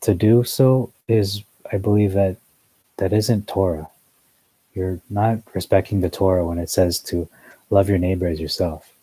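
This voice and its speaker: A man's voice: deep voice, steady tone, a little slow, dark tone, no room sound, poor audio. A man giving a rambling, earnest religious commentary monologue, full of fillers and asides. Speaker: newsletter commentator.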